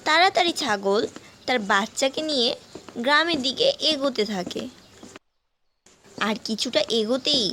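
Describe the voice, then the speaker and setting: A woman's voice: high-pitched, animated, moderate pace, long pauses, bright, forceful tone. A woman narrator telling a cartoon animal fable in a scripted storytelling style. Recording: noticeable background noise.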